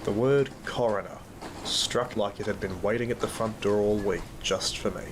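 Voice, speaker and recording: Guy playing tired troubled aussie dad narrating an audio drama, low voice, really noisy rainy background. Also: moderate pace, natural voice. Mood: somber. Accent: Australian. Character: weary, troubled working father narrating his grim job. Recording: very noisy track, rain and storm ambience.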